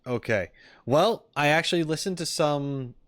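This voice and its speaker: A man's voice: on the high side, normal speed, a bit bright, no room sound, studio quality. A man chatting casually to his viewers as a livestream reaction host.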